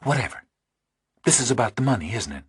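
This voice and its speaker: Man playing a guarded skeptical woman, soft husky pitch. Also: very animated, quick pace, no room sound, studio quality. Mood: accusing, cool.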